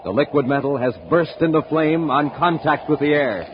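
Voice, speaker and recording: A male announcer pitching a sponsor on a 1950s children's radio serial in a punchy, emphatic style. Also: moderate pace. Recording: muffled, noisy 1950s radio recording.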